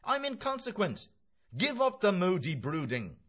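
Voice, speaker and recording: A brash young student acted by a male audiobook reader, boisterous and scornful. His voice rides high and swings widely in pitch as he declaims and mocks, on a slightly dull recording. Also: wildly swooping pitch, moderate pace, fairly bright tone, slightly rough, exaggerated voice. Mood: breezy, teasing. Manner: declamatory, exclamatory, mocking. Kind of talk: literary audiobook character voice.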